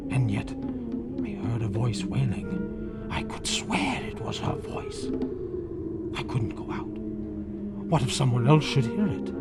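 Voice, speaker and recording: An actor playing a panicked, guilty husband in 1940s radio horror style, melodramatic, stammering and dropping into breathless whispers. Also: male voice, mid-range voice, gravelly, heavily acted. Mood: terrified, haunted. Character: panicked guilty husband confessing his crime. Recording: old 1940s radio broadcast, loud music behind.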